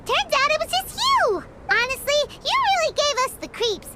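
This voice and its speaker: A woman voicing a small, excitable, childlike fairy companion with a squeaky, cheeky, exclamatory delivery in an anime fantasy game. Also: normal speed, very noisy. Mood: scolding. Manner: refers to self in third person, exclamatory, cheeky. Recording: loud music behind the voice. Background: game music.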